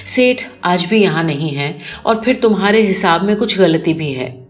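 Soft-spoken old office manager, read by a woman, music behind. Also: lowish voice, lively intonation, natural voice. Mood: mildly stalling. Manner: soft, silky-polite, reassuring. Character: bald old office manager, gentle, smooth-tongued staller. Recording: dull lo-fi recording with music behind.

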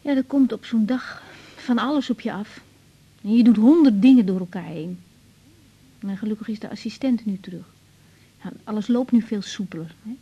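An actress playing an overworked young woman doctor in a radio drama, caring and down-to-earth, husky and matter-of-fact with hesitant restarts. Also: mid-range voice, moderate pace, dark tone, highs cut off. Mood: weary, caring. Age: young adult. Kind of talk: naturalistic radio drama acting.

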